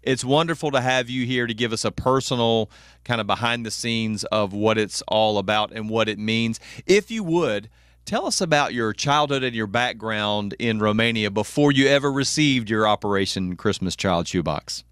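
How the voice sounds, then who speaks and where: A man's voice: lowish voice, moderate pace, a bit bright, smooth, no echo, studio quality. A man hosting a radio interview, with brief affirming interjections. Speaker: radio host.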